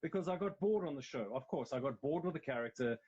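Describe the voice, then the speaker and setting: A man's voice: on the high side, expressive, quick pace, darkish tone, slightly rough. A middle-aged man with a South African accent, a guest actor telling his story candidly in a casual interview, rambling a little and restarting phrases. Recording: slightly dull, lo-fi sound.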